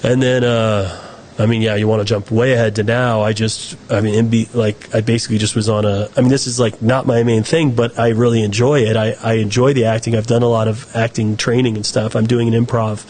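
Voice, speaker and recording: A man's voice: lowish voice, little pitch movement, a little fast, a little mellow, a little hiss or hum. Rambling American man telling stories. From United States. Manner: rambling, self-correcting storyteller. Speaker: guest, film director. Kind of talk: casual conversational interview.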